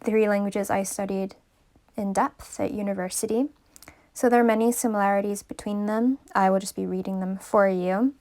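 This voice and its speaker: A woman whose first language is English, slowly teaching number pronunciation and repeating each word carefully. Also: mid-range voice, steady tone, darkish tone. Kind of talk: slow pronunciation lesson.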